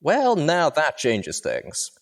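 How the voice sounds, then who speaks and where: A man's voice: fairly high pitch, huge pitch swings, slow pace, creaky, pitched above the performer's own voice, exaggerated voice. A man voicing a plain-spoken, resolute male cowboy pegasus with a folksy, matter-of-fact drawl.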